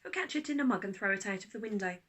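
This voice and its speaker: A woman's voice: medium pitch, lively intonation, rapid-fire, fairly bright tone, husky. A woman voicing a busy, dismissive mother in a storybook, breezily brushing things off. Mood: breezy, dismissive. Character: busy, dismissive mum, incredulous and mocking.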